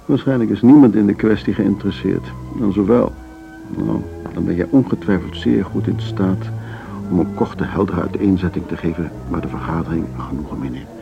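An urbane older man, with a creaky, lowish voice and formal, unhurried phrasing. He is genteel and quietly steering, in a radio play with music clearly behind him. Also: muffled recording. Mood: cautious, smooth. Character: genteel older male board member, urbane, cautious, smoothly steering. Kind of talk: Dutch radio drama dialogue.

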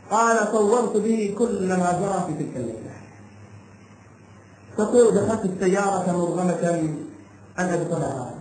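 A man's voice: sits high, darkish tone. A man preaching an impassioned sermon, telling a cautionary tale in short, emphatic phrases with dramatic repetition. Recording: echoey room sound with light background noise.

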